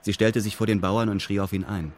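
A man's voice: lowish voice, fairly even intonation, brisk, a little hiss or hum. A man narrating a radio drama in a formal, matter-of-fact, detached way. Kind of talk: scripted radio drama narration in first person.

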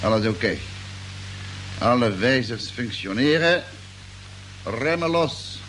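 An actor playing a practical, unhurried crewman at the wheel of an expedition truck in a radio drama. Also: mid-range voice, expressive. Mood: practical, steady. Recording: old radio play, noisy vehicle ambience.

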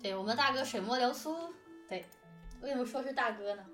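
Female host, higher-voiced, giggly and teasing, informal interview in a roomy space. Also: a little fast, fairly bright tone. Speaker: host interviewing production team. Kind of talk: casual interview chat. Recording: roomy, distant microphone, music behind. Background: background music.

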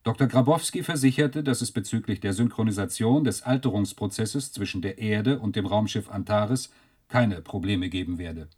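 Sober, slightly husky newsreader. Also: male voice, fairly low pitch, normal speed, darkish tone. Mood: sober, factual. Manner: factual, sober. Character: sober male newsreader reading an official bulletin. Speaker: newsreader in a radio play. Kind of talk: formal scripted news bulletin.

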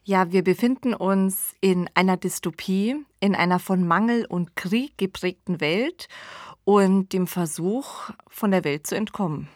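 A woman co-hosting a podcast, summarising in a scripted, measured style. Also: medium pitch, no echo, studio quality. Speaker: podcast co-host.

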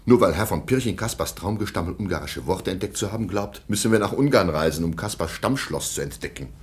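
An actor playing a stern middle-aged baron and guardian in a radio play, formal and disapproving. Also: male voice, low-pitched, animated, fast.

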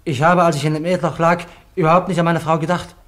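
An actor playing a stubborn, frightened soldier who earnestly insists on the truth in a radio drama. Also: male voice, a little high, little pitch movement, fast, fairly bright tone, lightly acted. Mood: anguished, insistent. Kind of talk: German radio drama acting.

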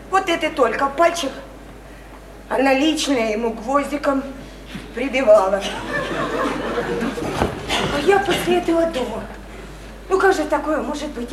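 An actress playing a naive, odd young provincial woman in a radio drama, halting and childlike, repeating herself as she pours out her feelings. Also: sits high, echoey room, noisy background. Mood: confiding. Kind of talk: stage-style radio drama acting.

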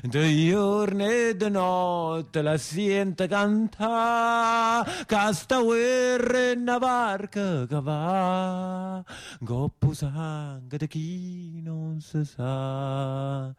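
An actor playing a proud, defiant brigand with a southern Italian accent, delivering a solemn chanted lament on stage. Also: male voice, sits high, extremely slow, clear, resonant tone. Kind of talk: slow chanted theatrical lament.

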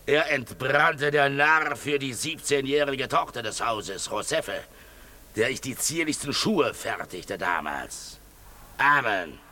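Strident, fanatical preaching shoemaker. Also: male voice, mid-range voice, lively intonation, sharp tone. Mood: zealous, condemning. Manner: pompous, oratorical, drawn-out emphatic phrasing. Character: fanatical self-righteous shoemaker preaching before a pyre. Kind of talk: 1950s German radio drama acting.

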